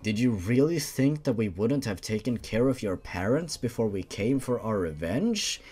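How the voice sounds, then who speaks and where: A man's voice: mid-range voice, expressive, normal speed, warm tone, breathy edge, pitched below the performer's own voice. A man voicing a sadistic young female killer creature who taunts with menace in a dramatic horror story reading. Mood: gloating menace.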